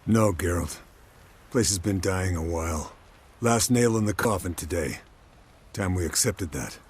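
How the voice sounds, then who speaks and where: An actor playing a weary, resigned veteran monster hunter in a video game, terse and downbeat. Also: male voice, sits low, measured pace, darkish tone, breathy edge. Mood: resigned, grieving. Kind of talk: dramatic video game voice acting.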